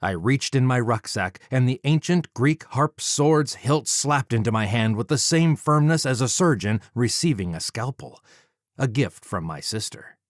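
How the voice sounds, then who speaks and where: A man narrating a first-person story as an audiobook reader, dramatic, measured and deliberate, close to the microphone. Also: mid-range voice, lively intonation, dry recording.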